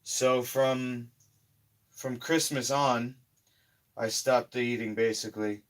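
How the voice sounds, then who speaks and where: A hoarse man talking casually in a slow, rambling health vlog, often trailing off quietly. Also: mid-range voice, fairly even intonation. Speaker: health vlogger.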